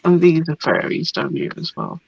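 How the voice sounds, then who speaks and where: Hoarse chatty woman, dry lo-fi sound. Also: low-pitched, moderate pace. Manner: chatty, relaxed, laughs often. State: hoarse from a cold. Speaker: craft product presenter. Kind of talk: casual product show-and-tell.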